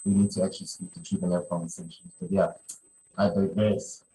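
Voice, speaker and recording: Deep-voiced man chatting, noisy recording. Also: level tone, brisk, mellow. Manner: rambling, repeats phrases, many "you know". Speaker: podcast host. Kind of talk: casual conversational podcast chat.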